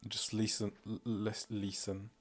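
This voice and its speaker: A Polish-accented man talks slowly on a live stream in a low, unhurried voice. He rambles and fills pauses with ums, poking fun at himself. Quiet music plays softly behind him. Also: little pitch movement. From Poland. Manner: rambling, self-deprecating, many fillers. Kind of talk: casual live-stream commentary.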